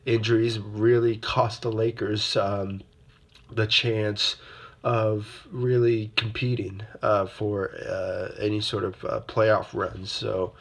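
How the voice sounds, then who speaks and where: A young man hosting his own basketball fan channel, talking loosely with lots of fillers, his voice a bit rough from a sore throat. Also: on the low side, level tone, takes it slowly. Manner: rambling, many fillers and repeated "obviously". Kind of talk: casual solo sports commentary.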